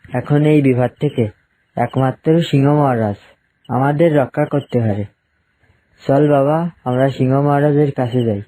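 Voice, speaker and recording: A man's voice: medium pitch, normal speed, mellow, natural voice, low-quality recording. A man voicing a caring, protective dinosaur father in a children's cartoon. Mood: worried, pleading.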